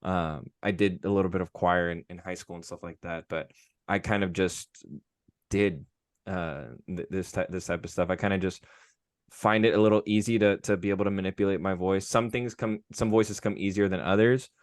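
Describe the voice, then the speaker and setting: A young man, a guest on a podcast, speaking modestly and haltingly with many restarts. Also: sits low, fairly even intonation, smooth, no echo, clean studio sound. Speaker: podcast guest, amateur voice impressionist. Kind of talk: casual podcast conversation.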